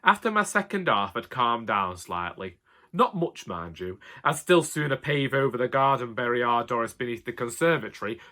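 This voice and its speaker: A man's voice: mid-range voice, pushed and bright. A man playing a henpecked older husband with a Northern English accent, telling comic tales in a wry, exasperated, colloquial way. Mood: dry, wry. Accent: Northern English, Cheshire. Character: grumbling henpecked old Northern husband, dryly comic storyteller. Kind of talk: comic dramatic monologue narration.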